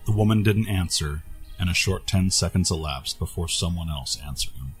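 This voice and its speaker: A man's voice: low voice, expressive, moderate pace, slightly rough, soft background music. A man reading scripted narration for a fantasy adventure story.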